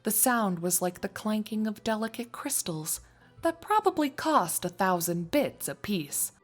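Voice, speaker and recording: A woman reading scripted story narration in an expressive, wry storytelling manner. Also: medium pitch, unhurried, soft background music. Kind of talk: scripted fan fiction narration.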